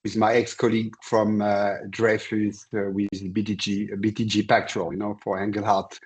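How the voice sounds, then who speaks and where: A man in his sixties from Senegal speaks as a guest on a video call. He tells his story in a measured, halting way, with a somewhat low voice. He pauses often as he gathers his thoughts. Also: monotone, slightly rough. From born in Senegal. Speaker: interview guest, commodity trader. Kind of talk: conversational career account.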